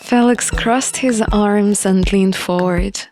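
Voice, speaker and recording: A woman's voice: medium pitch, slow pace. A woman reading scripted first-person story narration for a visual novel playthrough, with music behind her. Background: background music.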